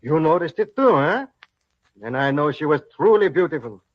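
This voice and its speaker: An actor playing a jovial colonial soldier in an old-time radio drama. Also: male voice, fairly high pitch, very animated, normal speed. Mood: teasing, amused. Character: Spanish colonial soldier, jovial and teasing, with authority. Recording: dull lo-fi old radio recording.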